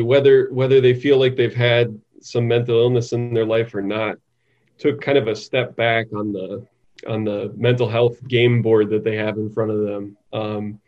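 A man speaking as an interview guest, thoughtful and halting, often repeating words as he talks conversationally. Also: medium pitch, dull audio. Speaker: interview guest, psychiatric nurse practitioner.

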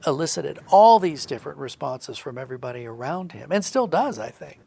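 A man's voice: a little high, big pitch swings, brisk, gravelly, mellow, breathy edge. A middle-aged man talking reflectively as a guest in a conversational interview, acting out little quotes as he explains. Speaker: guest, audio drama writer.

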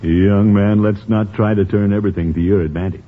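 An actor playing a pompous, blustery middle-aged uncle in a 1950s radio comedy. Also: male voice, on the low side, steady tone, a little fast, lightly acted. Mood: exasperated, firm. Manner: pompous, theatrical. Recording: tinny, noisy old radio recording.

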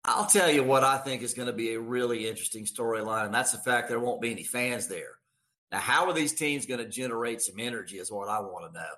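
A man's voice: medium pitch, quick pace, pushed and bright. A middle-aged man, a radio announcer, chatting casually as an interview guest in a folksy, emphatic way, repeating words for stress. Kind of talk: casual sports interview chat.

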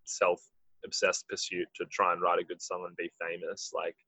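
Very low, husky man. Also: completely flat delivery, normal speed, sharp tone, no room sound, poor audio. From Australia. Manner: rambling, heavy use of "like". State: husky from late rehearsal. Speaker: musician in a band. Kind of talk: casual interview answers.

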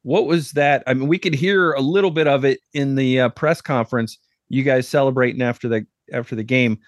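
A man's voice: mid-range voice, fairly quick, no room sound, clean studio sound. A man hosting a podcast, interviewing a guest and chatting with his co-host in an upbeat, chatty way.

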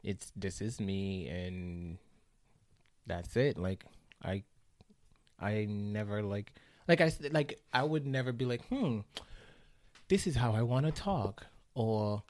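Chatty male podcast guest with a West Indian accent, lowish voice, dry close sound. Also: slow, pauses often, no echo. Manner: chatty, animated, lots of fillers. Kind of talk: casual chat.

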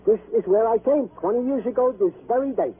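A man playing a chatty, proud, comical American police sergeant in an old radio drama, husky and pompously folksy. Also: very high voice, brisk, slight background noise. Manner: pompous mock-formal wording, folksy. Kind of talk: 1950s radio comic-relief acting. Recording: muffled vintage radio broadcast recording.

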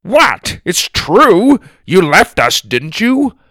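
A man's voice: lowish voice, big pitch swings, takes it slowly, vocal fry, bright, forceful tone, no room sound, studio quality, heavily acted. A man voicing a huffy, defensive old grandfather who grumbles and gets flustered in a storybook. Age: older.

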